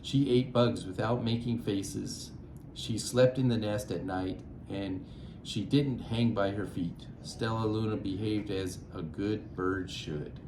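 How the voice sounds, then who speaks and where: A man with a lowish voice reads a children's story as narrator. His delivery is measured and expressive, recorded in an echoey room with faint noise. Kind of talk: read-aloud storybook narration.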